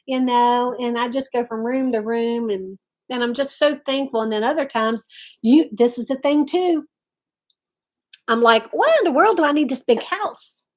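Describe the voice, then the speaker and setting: Folksy middle-aged woman, fairly high voice. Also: normal speed, a little mellow. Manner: folksy, effusive, addresses viewers directly. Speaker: host of a live chat. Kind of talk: casual live-stream chat.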